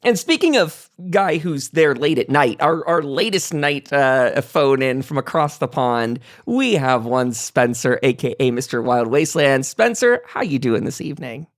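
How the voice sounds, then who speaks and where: Male host for a gaming round-table stream: informal, talkative, very animated pitch. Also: a little slow, few pauses. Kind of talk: chatty livestream hosting.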